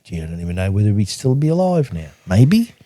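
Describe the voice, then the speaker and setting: A man's voice: on the low side, very animated, fairly quick, dark tone, dry recording. An older man reading from his old travel journal and telling the stories behind it in a casual, chatty way, laughing often. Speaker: podcast guest recounting travels.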